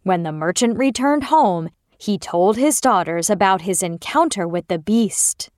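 A woman narrating a fairy tale for children as a storyteller, with expressive delivery. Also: mid-range voice, unhurried, no echo, clean studio sound.

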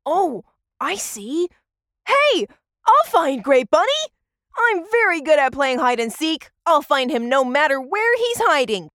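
A woman voicing an excitable, wide-eyed little boy in a children's audio story. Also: sits high, a little slow, dry recording, studio quality, heavily acted. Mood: confident.

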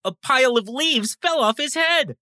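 Lively, high-voiced woman storyteller. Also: normal speed, no echo, studio quality. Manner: animated, with acted sound words. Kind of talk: scripted children's bedtime story narration.

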